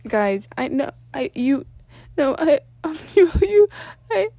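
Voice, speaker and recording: A woozy, clingy teenage boy, played by a woman narrator, high-pitched and loud with tears. He stammers and pleads through slurred words in an amateur audio story, recorded dry and close. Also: big pitch swings, slow pace, dry recording, full character voice. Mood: choked up. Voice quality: slurred, tearful.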